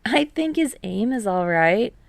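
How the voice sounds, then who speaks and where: A woman puts on a friendly teenage boy athlete from a storybook, lifted in pitch and expressive. He teases easily and frets readily, recorded close and dry. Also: unhurried, gravelly, dry recording, full character voice. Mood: teasing. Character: teenage boy, friendly, teasing, anxious volleyball player. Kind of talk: audiobook character voice.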